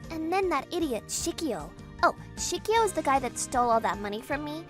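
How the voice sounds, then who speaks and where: A woman playing an emotional young woman in a manga dub, speaking in an expressive, heartfelt way. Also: high-pitched, a little fast, music in the background. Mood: gleeful gossip. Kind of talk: manga dub character acting.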